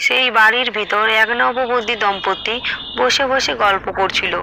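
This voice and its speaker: A woman's voice: a little high, steady tone, measured pace, gravelly, background noise. A woman narrating a scripted horror story for a cartoon. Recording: loud background music.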